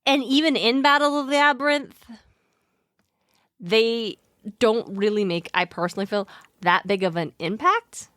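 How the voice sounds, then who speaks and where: A woman's voice: a little high, a little slow, long pauses, a bit bright, no echo, clean studio sound. A woman hosting a podcast in casual, chatty banter, with an informal, wry way of talking. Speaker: podcast host.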